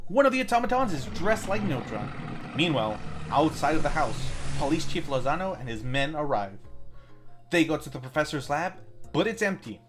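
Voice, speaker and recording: Animated higher-pitched man narrating a film recap. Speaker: film review show host.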